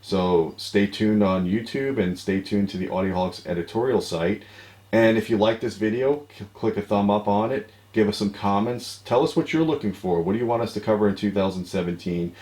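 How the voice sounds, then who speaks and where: A middle-aged American man with a low-pitched voice hosts an online show. His delivery is casual and conversational, like an informal chat with a colleague. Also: brisk, darkish tone. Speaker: show host. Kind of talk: casual video show hosting. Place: home office.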